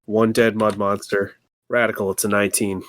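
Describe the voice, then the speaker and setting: A man's voice: fairly low pitch, level tone, moderate pace, no room sound. A man chatting casually as a player in a tabletop game, with a wry, jokey manner.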